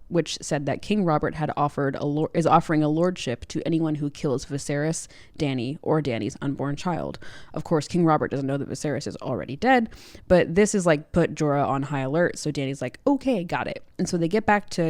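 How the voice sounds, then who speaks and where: Low-pitched woman chatting, dry close sound. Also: lively intonation, fairly quick, darkish tone, no room sound. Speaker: book club podcast co-host. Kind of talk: casual chatty book recap.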